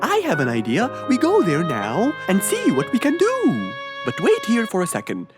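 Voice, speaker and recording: A woman voicing a helpful, lively male fox in a children's cartoon, expressive and obliging. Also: mid-range voice, moderate pace, music in the background. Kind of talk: children's cartoon character acting.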